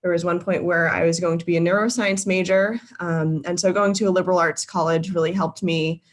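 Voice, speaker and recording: Young woman with a low voice, chatty. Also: fairly even intonation, brisk. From Boston, Massachusetts. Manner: friendly, many filler ums and uhs, self-correcting. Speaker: guest speaker. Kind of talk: casual presentation to a live virtual audience. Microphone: video call.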